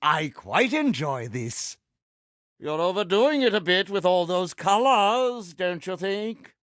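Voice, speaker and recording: Vain pirate villain, British accent, highish swooping pitch, grandiose, mannered, theatrical game voice. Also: male voice, big pitch swings, slow pace, fairly bright tone, dry recording, studio quality, full character voice. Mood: haughty, instructive. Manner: pompous, grandiose, mannered formal speech. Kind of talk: theatrical video game character voice acting.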